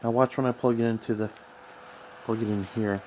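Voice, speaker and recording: A man talking casually and haltingly as he rambles through a hobbyist's gadget troubleshooting demonstration. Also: medium pitch, fairly even intonation, a little mellow. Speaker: radio hobbyist. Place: small room at home, indoors. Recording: noisy home recording with background hiss.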